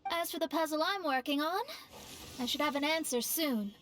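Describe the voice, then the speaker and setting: A woman's voice: high-pitched, moderate pace, a bit bright, a little hiss or hum. A woman voicing a wry, intellectual young woman in a video game, with a dry, detached manner. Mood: detached, musing.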